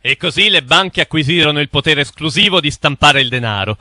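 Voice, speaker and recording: Forceful male cartoon narrator. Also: on the high side, animated, brisk, no room sound, studio quality. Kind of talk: dramatic scripted narration for an animated film.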